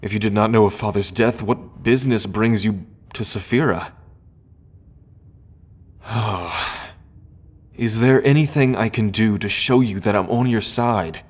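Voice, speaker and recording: Slow, low-voiced earnest prince played by a man. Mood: concerned, earnest. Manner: formal, measured, hesitant diction. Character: earnest, grieving prince, loyal sibling of the dragon heir. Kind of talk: fantasy audio roleplay acting.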